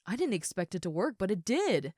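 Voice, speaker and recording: A woman playing a big, friendly ogre roommate in an audio roleplay, chatting casually and gently to the listener. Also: mid-range voice, very animated, a little fast, dry recording, clean studio sound. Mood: warm, reassuring. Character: huge muscular red female ogre roommate, friendly and easygoing.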